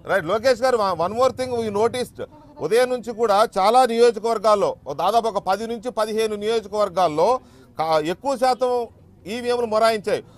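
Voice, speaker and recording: A man with a Telugu accent, a news anchor in a studio asking brisk, probing questions in a live interview. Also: high voice.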